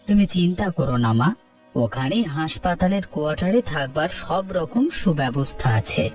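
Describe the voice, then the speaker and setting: A man's voice: fairly high pitch, animated, normal speed, far from the mic, soft background music, close to an everyday voice. An actor voicing a polite, dutiful young male doctor, speaking formally in a cartoon. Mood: polite, earnest. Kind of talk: Bengali cartoon story dialogue.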